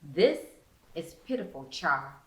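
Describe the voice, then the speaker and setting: A woman's voice: deep voice, sing-song, slow pace, fairly bright tone, pitched below the performer's own voice, full character voice. A woman voicing a mocking, smirking teenage girl ringleader with taunting, slangy delivery in a story reading. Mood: smirking contempt. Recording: roomy indoor echo.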